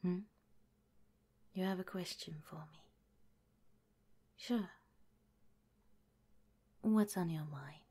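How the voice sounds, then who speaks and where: A woman plays a kindly head scientist who whispers every word, low and unhurried. Her tone is mellow and tender, soothing a listener toward sleep in an audio roleplay. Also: breathy edge, exaggerated voice. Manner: soft, tender, reassuring. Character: gentle caring female head scientist, middle-aged, soothing. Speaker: institute director in an audio roleplay. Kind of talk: ASMR roleplay.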